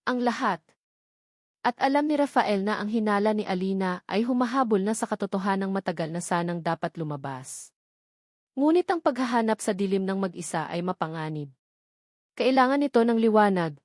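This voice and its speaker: A woman delivers scripted, dramatic story narration. Her voice sits at an average pitch, and the recording is dry, with very little room sound. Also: darkish tone, dry recording.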